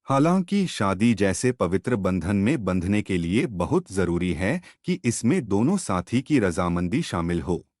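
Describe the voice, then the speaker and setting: A male narrator reads scripted stories and advice in a fairly low voice. His delivery is slow, with a very animated melody. The recording is close and dry. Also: dry recording. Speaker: story channel narrator.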